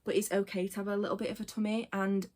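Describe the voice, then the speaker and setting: A woman's voice: medium pitch, flat delivery, fast, a little mellow. A woman, chatting casually in a personal vlog, rambling with many fillers and tangents. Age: 20s. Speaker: vlogger.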